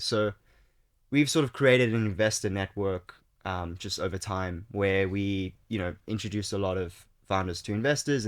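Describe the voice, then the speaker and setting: Low-voiced South African man, chatty. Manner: relaxed, chatty, with many fillers and restarts. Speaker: guest, startup founder. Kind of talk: casual interview chat.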